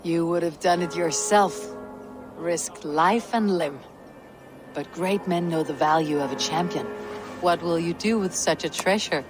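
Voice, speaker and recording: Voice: mid-range voice, measured pace, in a large hall, music in the background, lightly acted. An actor voicing a terse, defiant Norse warrior.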